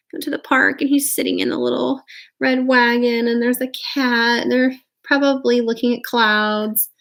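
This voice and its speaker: Expressive woman reading a picture book, slightly creaky. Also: fairly high pitch. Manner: expressive, chatty, sympathetic asides to listeners. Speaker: read-aloud storyteller. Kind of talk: animated picture-book read-aloud with casual asides.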